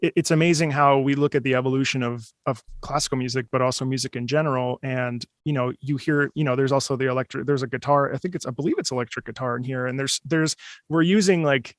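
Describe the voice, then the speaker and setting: A man's voice: medium pitch, fairly even intonation, fast, no echo, studio quality. A man hosting a casual chat, talking enthusiastically in a rambling way. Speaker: host.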